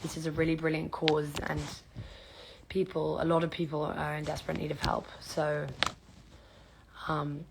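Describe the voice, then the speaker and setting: Earnest young woman, low voice. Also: monotone, moderate pace, gravelly, long pauses, background noise. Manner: earnest, rambling appeal. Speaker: livestream host. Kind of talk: casual livestream chat. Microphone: phone livestream.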